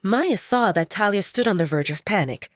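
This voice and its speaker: A woman's voice: medium pitch, animated, moderate pace, no room sound, studio quality. A woman reading scripted narration as the storyteller of an audio drama.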